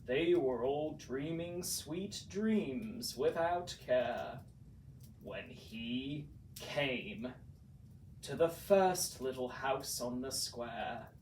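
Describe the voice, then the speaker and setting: Slow, dramatic male storyteller in an echoey room. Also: medium pitch, lively intonation, a little mellow. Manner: deliberate, dramatic, builds repetitions for emphasis. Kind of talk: theatrical storybook narration in imitation of a classic horror actor. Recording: roomy, distant mic, faint noise.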